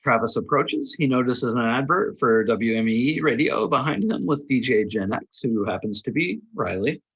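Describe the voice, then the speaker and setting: A man reads out screenplay action lines as the narrator of a table read. His voice is middling in pitch with a husky grain. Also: animated, normal speed, fairly bright tone, rough recording. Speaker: narrator reading stage directions.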